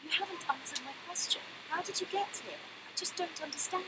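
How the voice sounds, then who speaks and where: An anxious young heroine from a cartoon adventure game. The voice is extremely high and piercingly bright, with faint noise behind it. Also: female voice, a little fast, rough recording. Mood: puzzled.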